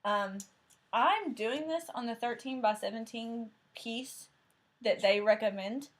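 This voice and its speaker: A woman's voice: a little high, measured pace. A woman with a thick Southern Tennessee accent chatting casually and rambling a bit as she hosts a crafting vlog. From Tennessee, USA. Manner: chatty and rambling, with frequent fillers and self-corrections. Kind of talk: casual chat about cross-stitch projects.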